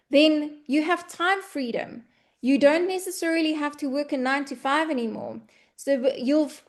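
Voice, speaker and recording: A woman's voice: high-pitched, a little mellow. A woman from South Africa with an Afrikaans accent, Afrikaans her first language, giving an earnest, heartfelt webinar presentation with frequent ums and restarts. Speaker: presenter of an online business meeting.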